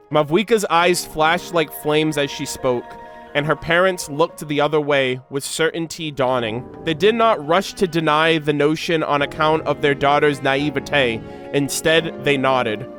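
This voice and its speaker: A man with a somewhat high voice reads game lore with expression and adds casual, slangy reactions. He comes across as an enthusiastic video creator, with music playing clearly behind him.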